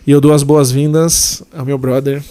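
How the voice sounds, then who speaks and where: A man's voice: mid-range voice, flat delivery, smooth. A man hosting a podcast, introducing his guest in an effusive, chatty way. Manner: warm and effusive, quick asides. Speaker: podcast host. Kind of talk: casual interview chat.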